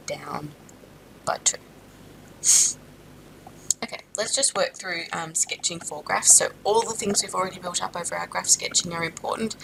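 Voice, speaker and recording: Stuffy-nosed woman teaching maths, low gravelly voice. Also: background noise. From Australia. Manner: steady explaining with frequent ums and self-corrections. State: stuffed up with a sinus infection. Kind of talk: recorded classroom lesson.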